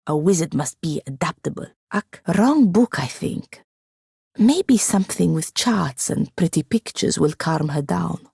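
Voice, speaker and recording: A woman's voice: sits low, creaky, a little mellow, slightly rough, no room sound, full character voice. A woman playing a proud, long-suffering wizard's apprentice in a video game, with formal wording and dry, biting sarcasm. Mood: wry, exasperated. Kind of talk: video game character voice acting.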